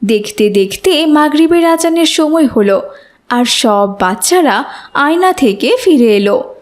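Casting: female narrator for a kids' cartoon tale, high voice, scripted storytelling. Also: lively intonation, a little slow.

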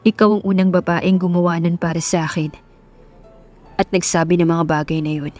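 A gentle young husband speaks low and softly as a woman acts him out. He is earnest and romantic, with a calm, hushed delivery suited to a romance audio drama. Music plays underneath. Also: moderate pace, lightly acted. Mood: grateful, soft.

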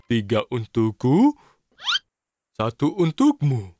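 A man voicing an eager, impatient little cartoon fellow with childlike excitement in a children's cartoon dub. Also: mid-range voice, slow pace, very noisy. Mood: eager, confident. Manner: excitable, exclamatory.

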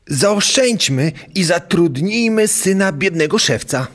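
Lively, bossy innkeeper played by a man. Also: high-pitched, unhurried. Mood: scheming. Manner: commanding. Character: crafty, bossy male innkeeper.

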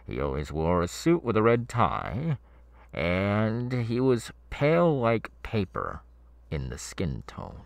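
A man playing a demon's masked henchman in an ASMR horror roleplay, chatty and halting, with mock-soothing menace. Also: on the low side, wildly swooping pitch, gravelly, dry recording, exaggerated voice. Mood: chatty, sinister. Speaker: ASMR voice actor.

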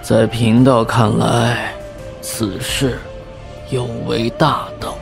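Calm lofty taoist master, slow measured lines, faint music under, audio drama. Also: male voice, medium pitch, gravelly. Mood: solemn, resolute. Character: calm, aloof male Taoist master, quietly defiant.

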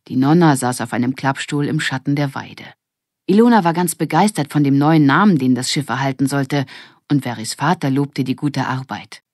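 Low-voiced woman reading a children's story. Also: darkish tone, no echo, clean studio sound. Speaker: audiobook narrator. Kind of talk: scripted children's story narration.

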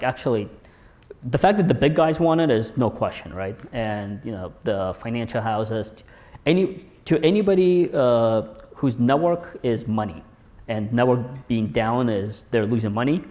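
A man's voice: medium pitch, normal speed, mellow. A young man giving a casual, wry technical talk on a conference stage, speaking into a lapel mic with frequent fillers and restarts. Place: large conference hall on stage.

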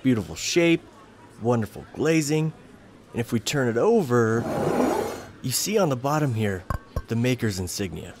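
A man's voice: medium pitch, lively intonation, normal speed, long pauses, loud music behind the voice, close to an everyday voice. A man playing a polite, puzzled antiques appraiser in a comedy sketch. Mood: courteous, expert.

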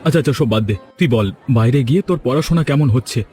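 A man's voice: medium pitch, fast, warm tone, some chatter behind, natural voice. A man voicing a humble, deferential village butcher uncle in a cartoon fairy tale. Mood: friendly, rueful. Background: music.

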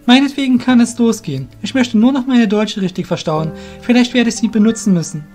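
A man's voice: extremely high pitch, a little fast, a little mellow, faint music behind, voice pushed up. A man voicing an earnest, polite young shadow guild apprentice with a light, youthful voice in a fantasy audio drama. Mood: earnest, eager.

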